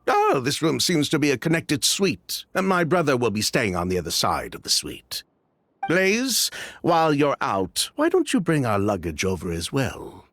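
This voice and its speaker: A theatrical, courteous young host. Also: male voice, medium pitch, huge pitch swings, moderate pace, heavily acted. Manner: courteous, theatrical, a bit slippery. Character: smooth, charming young male host. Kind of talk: video game voice acting. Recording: soft background music.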